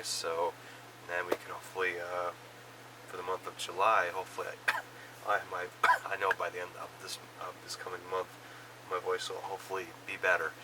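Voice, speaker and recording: A man with a cold, hoarse and coughing, casually rambling through a recap of the films and shows he watched. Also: low voice, recorded from a distance, background noise. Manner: rambling, many fillers and restarts. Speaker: vlogger reviewing films and shows.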